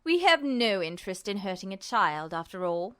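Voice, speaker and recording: Cold, menacing man played by a woman narrator, lowered pitch, dispassionate and patronizing, smug story villain. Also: very animated, moderate pace, dry recording, clean studio sound. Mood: smug menace. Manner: quiet, dispassionate, mockingly patronizing. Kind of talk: dramatized fan fiction reading.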